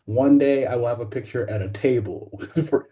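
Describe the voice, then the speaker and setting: A man's voice: mid-range voice, fairly quick, muffled recording. A man talking casually on a podcast panel as a voice actor. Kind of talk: casual chat. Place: library, on a remote call.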